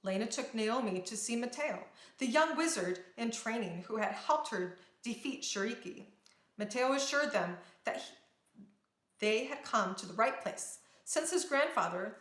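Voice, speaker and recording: A woman reading a children's storybook aloud in a dramatic, expressive storytelling manner. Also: fairly high pitch. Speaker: storybook reader. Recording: roomy, echoing sound.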